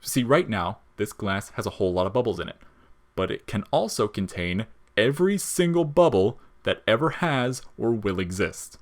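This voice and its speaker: A man's voice: fairly low pitch, lively intonation, moderate pace. Guy doing a wry skeleton monster for an audio story, slangy and jaded, kinda jolly but bitter underneath. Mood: casual, explaining. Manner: slangy, sardonic, explaining things casually.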